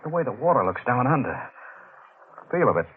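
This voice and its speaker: A man's voice: mid-range voice, very animated, a little fast, narrow, tinny audio, natural voice. An actor playing a big, frightened, reluctant seaman, pleading and faltering in 1940s radio drama style. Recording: thin, noisy old radio recording.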